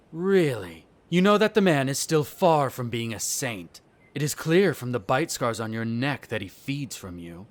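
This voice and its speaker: Guy doing a snooty vampire lord for a roleplay audio, mid pitched, smug and condescending, talks all formal. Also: natural voice. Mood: scornful, probing. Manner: condescending, sardonic, formal wording. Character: haughty, smug male vampire noble, disdainful of humans. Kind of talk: scripted roleplay audio drama.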